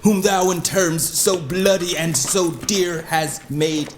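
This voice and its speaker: An actor playing a proud, lovesick duke in Shakespearean audio drama, speaking verse in a measured, wounded, theatrical way. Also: male voice, high voice, faint music behind. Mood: stern.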